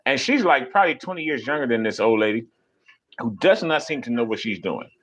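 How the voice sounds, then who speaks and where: A young man ranting on a live stream in a casual, profane way. His pitch sits mid-range, and he jumps into high, mocking voices when he imitates people. He talks animated and blunt, with loose restarts. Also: a little fast, low-quality recording.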